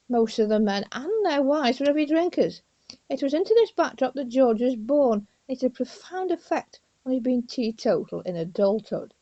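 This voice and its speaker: A woman reading her own biography book aloud as an informal audiobook, in a deliberate, discursive manner with asides to the listener. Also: a little high, warm tone, muffled recording.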